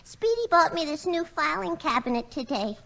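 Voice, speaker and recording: A woman playing a scatterbrained, sweet-natured secretary with a squeaky, girlish voice in 1940s radio comedy. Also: sing-song, moderate pace, creaky, a bit bright, full character voice. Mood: ditzy, earnest.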